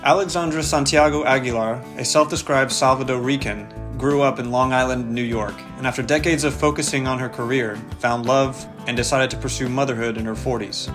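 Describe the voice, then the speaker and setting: A male podcast host reading a scripted episode introduction in a measured way. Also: mid-range voice, steady tone. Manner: measured, read-out delivery. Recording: music bed under voice.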